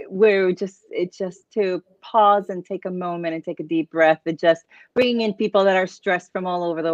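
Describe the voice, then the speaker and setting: A man's voice: high voice, dry recording. A man from Puerto Rico, a coach and guest, answering earnestly in long run-on sentences. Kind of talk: casual interview answers. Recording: dull lo-fi, dry sound.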